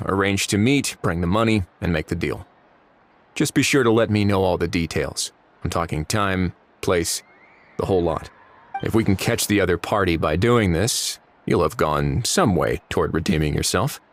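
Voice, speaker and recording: An actor doing a cool, low-voiced commanding warden. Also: male voice, close to an everyday voice. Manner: cool, measured, dryly commanding. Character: calm, authoritative male warden. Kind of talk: video game cutscene dialogue.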